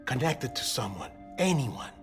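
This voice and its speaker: A man's voice: medium pitch, very animated, normal speed, fairly bright tone. An earnest, morose man, music behind. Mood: melancholy, wistful. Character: earnest, morose man grown artificially from stem cells. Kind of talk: video game cutscene acting. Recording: background music under the voice.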